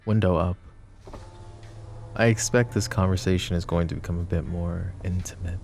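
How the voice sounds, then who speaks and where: A man playing a suave, possessive mafia boss in an intimate roleplay, speaking with mock courtesy and a teasing drawl in a low, gravelly voice. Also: fairly even intonation, moderate pace, a little mellow, soft background music. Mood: flirty, smug. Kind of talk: roleplay ASMR acting, intimate address to listener.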